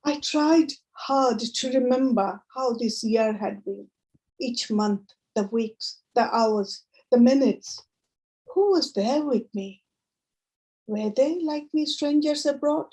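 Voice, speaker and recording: A woman with a Bengali accent reading her poetry and introducing it to listeners in short, deliberate phrases. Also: a little high, a little mellow, low-quality recording. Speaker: poet.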